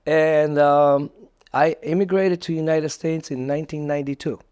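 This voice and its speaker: A middle-aged man with a Polish accent talks as an interview guest. He speaks in a conversational, halting way, repeating words as he goes. The sound is dry, with almost no room on it. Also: a little high, steady tone, darkish tone, clear, resonant tone, dry recording, highs cut off. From Poland. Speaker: podcast guest, entrepreneur.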